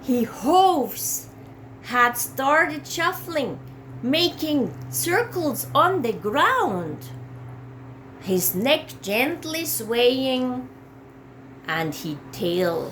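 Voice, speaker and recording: A woman reading a children's picture book aloud in an expressive, dramatic way. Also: high-pitched, slight background noise. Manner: slow, dramatic phrasing for young children.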